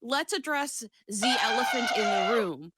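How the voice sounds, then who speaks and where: A woman co-hosting a podcast with casual banter, animated and playful, swearing freely. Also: on the high side, measured pace, shouting, very noisy. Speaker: podcast co-host.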